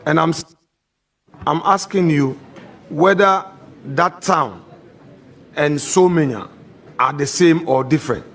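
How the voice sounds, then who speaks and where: A man on a committee formally questioning a nominee, pressing the same point with measured, insistent questions. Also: on the high side, fairly bright tone.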